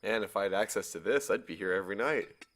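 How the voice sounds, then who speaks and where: A man's voice: lowish voice, fast talker, a bit bright, slight background noise, lightly acted. An actor playing a boyish, sheepish young man on the run in a naturalistic audio drama, casually protesting in a good-natured, defensive way. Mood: relaxed.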